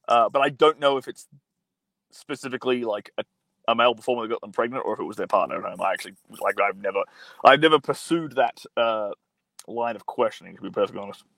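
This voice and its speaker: A man's voice: mid-range voice, fairly bright tone, dry recording. A young man hosting a casual live stream from his mobile phone, reading viewer comments and answering in a blunt, profane, rambling way full of fillers.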